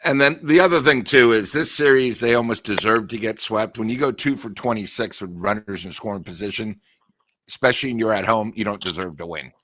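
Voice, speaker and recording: A man's voice: on the low side, brisk, bright, forceful tone, no room sound. A male co-host giving casual sports analysis in a blunt way, often saying "you know".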